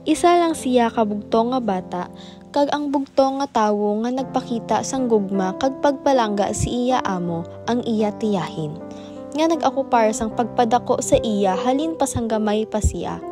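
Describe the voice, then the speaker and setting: High-voiced Filipino woman narrating over music. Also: moderate pace. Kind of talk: scripted radio drama narration. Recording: music bed under the voice.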